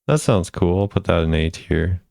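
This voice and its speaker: A man with a smooth, fairly low voice gives casual commentary as a gaming video host. He thinks aloud in a laid-back, unscripted way. The sound is close and dry. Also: animated, moderate pace, darkish tone, dry recording. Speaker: video host ranking game voice lines.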